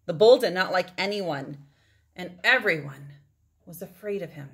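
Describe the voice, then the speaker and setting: A woman's voice: fairly low pitch, expressive, unhurried. A woman in her forties reading a picture book aloud to children, drawing out words for effect and adding asides to her listeners. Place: indoors, small room at home.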